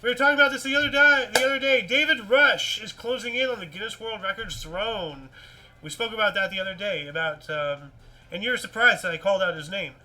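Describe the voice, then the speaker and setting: A man hosting a casual news show, reading articles and chatting in a rambling, repetitive, animated way. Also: high voice, fairly quick, low-quality recording. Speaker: host of a weird-news talk show.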